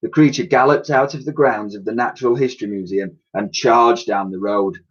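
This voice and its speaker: A man reading a children's storybook aloud in an expressive, dramatic way, as a teacher would to pupils. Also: mid-range voice, a little fast, slightly rough. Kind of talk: animated children's storybook narration. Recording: dull, lo-fi recording.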